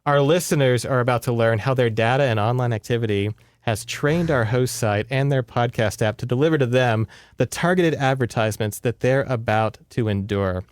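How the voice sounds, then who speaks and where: A man with a slightly high-set, smooth voice talks in a close, dry recording. He co-hosts a casual book podcast, rambling conversationally through the story with sardonic asides. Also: normal speed, no room sound. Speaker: podcast co-host. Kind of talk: casual book recap chat.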